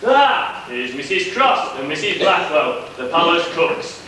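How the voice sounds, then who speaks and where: A bumbling, genial police constable, acted by a man chats to the audience in an amateur pantomime. The voice is fairly high. It is recorded from far off in an echoey hall with clear noise. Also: big pitch swings, unhurried, sharp tone, heavily acted. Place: church pantomime stage, far camera.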